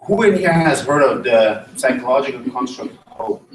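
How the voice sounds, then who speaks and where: A man from Switzerland gives an academic talk at a conference and turns to the audience with questions. His voice sits at an ordinary middle pitch. It is picked up from some distance in a roomy, echoing hall. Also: lively intonation, normal speed. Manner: engaging, asks the audience questions. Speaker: conference presenter. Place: conference room.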